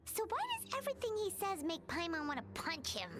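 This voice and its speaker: A woman voicing a tiny, blunt, childlike fairy companion with a squeaky voice in an anime video game. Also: very animated, fairly quick, over music. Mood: indignant. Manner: refers to self in third person, exclamatory.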